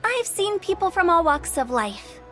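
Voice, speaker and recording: A woman's voice: extremely high pitch, very animated, moderate pace, fairly bright tone, exaggerated voice. A woman playing a playful, theatrical young funeral parlor director with a teasing manner in a video game. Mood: chatty. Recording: faint music behind the voice.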